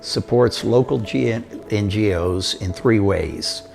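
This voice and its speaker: An older man from Costa Rica, telling his life story in a measured, steady delivery. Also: lowish voice, creaky, soft background music. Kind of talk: prepared autobiographical narration.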